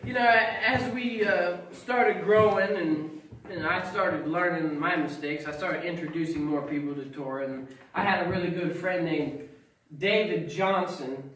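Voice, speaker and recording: A middle-aged man tells stand-up stories in a folksy way and gets loud at the punchlines, his voice sitting fairly high. He is picked up from far across a small hall, so the sound is very roomy and echoey. A live audience laughs and murmurs around him. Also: measured pace, slight background noise. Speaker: stand-up comedian. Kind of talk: stand-up comedy at a congregation event. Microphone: far camera, no mic.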